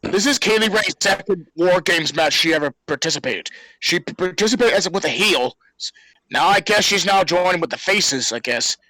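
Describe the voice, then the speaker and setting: A man's voice: high-pitched, animated, moderate pace, bright, forceful tone, no room sound, poor audio. A man co-hosting a podcast in a casual chat about wrestling. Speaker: podcast co-host.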